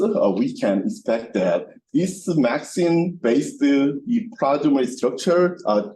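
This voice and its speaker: A man giving an academic lecture as a researcher at a seminar, speaking haltingly and repetitively with non-native English phrasing. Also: mid-range voice, low-quality recording. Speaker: researcher presenting at a scientific seminar. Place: seminar room with projection screen. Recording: bright room recording with background noise.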